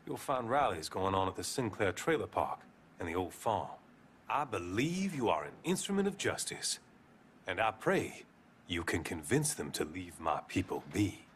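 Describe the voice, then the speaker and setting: A weary, devout preacher from a video game drama. His deep voice swings freely in pitch, blunt and solemn by turns. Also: big pitch swings, normal speed, a little hiss or hum. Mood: solemn, grateful. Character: weary small-town preacher, plainspoken but devout. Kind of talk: video game cutscene acting.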